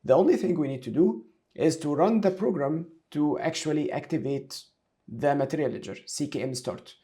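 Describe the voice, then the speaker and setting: Mellow-voiced male software instructor. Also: a little high, moderate pace. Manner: instructional, talks through each step aloud. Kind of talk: screen-share tutorial, explaining step by step.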